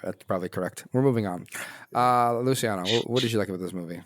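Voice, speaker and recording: A man's voice: fairly low pitch, fast, a bit bright, dry recording. A man hosting a casual film podcast, with a dry, teasing way of talking. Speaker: podcast host.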